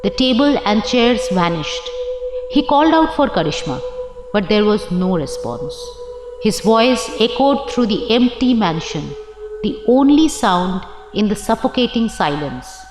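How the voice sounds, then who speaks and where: Echoey reverb over loud music, female audiobook narrator, horror storytelling, dramatic and suspenseful. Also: medium pitch, big pitch swings, measured pace.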